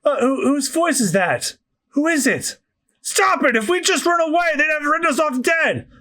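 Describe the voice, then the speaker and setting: A man doing a shrill, frantic pirate. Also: expressive, normal speed. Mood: alarmed. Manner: shouty, panicked, exclamatory. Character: loud, frantic pirate comrades yelling in alarm. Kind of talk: acted manga dialogue read-aloud.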